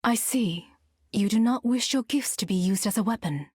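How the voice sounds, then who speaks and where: An actress playing a poised, shrewd woman in a video game, speaking with measured, observant delivery. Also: mid-range voice, darkish tone, husky, no echo, studio quality, natural voice. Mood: cool, observant. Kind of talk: video game character acting.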